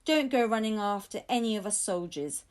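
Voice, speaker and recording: A proud, courteous guardsman from a children's storybook, voiced by a woman. He speaks in a formal, measured way and reassures his young listeners. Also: medium pitch, lively intonation, lightly acted. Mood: proud, friendly. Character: courteous, reassuring male royal guard lieutenant.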